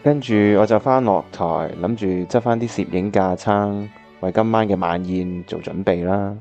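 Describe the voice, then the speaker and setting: A man's voice: fairly low pitch, moderate pace, vocal fry, warm tone, highs cut off, soft background music. A man playing an earnest, reflective bachelor in a Cantonese radio drama, with a Hong Kong accent. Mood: wistful. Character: earnest, reflective bachelor looking back on old love.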